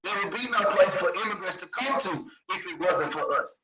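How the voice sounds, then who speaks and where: A man's voice: high-pitched, animated, a little fast, roomy sound, recorded from a distance, a loud crowd. A man, speaking in an emphatic, assertive way in a group discussion and repeating points for stress. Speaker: discussion participant. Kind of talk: passionate opinion in group discussion.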